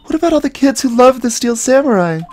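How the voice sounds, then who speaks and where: A woman voicing an excitable, stammering teenage girl in a video game, easily shocked and indignant. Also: high-pitched, fast, pitched above the performer's own voice. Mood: distraught. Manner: stammering, exclamatory. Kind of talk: voiced visual novel game dialogue.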